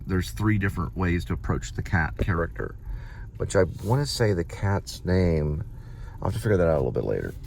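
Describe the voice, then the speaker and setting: A man giving a casual, rambling vlog monologue, halting often and drifting off on tangents, recorded inside a car. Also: big pitch swings, creaky, a little mellow. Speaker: vlogger, amateur playwright, delivery driver. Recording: noisy car interior, vehicle rumble.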